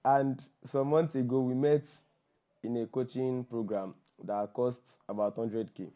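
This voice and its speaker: A Nigerian man with a voice hoarse from shouting, hosting an informal group call in a joking, self-deprecating, repetitive way. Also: medium pitch, steady tone, unhurried. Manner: joking, self-deprecating, repeats himself for emphasis. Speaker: workshop host and copywriter. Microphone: online call microphone. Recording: dull, lo-fi group call audio.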